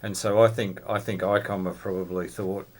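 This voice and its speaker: A man's voice: low voice, flat delivery, moderate pace. A man in a casual, conversational technical discussion among fellow hobbyists at a club. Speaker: club member discussing radio gear.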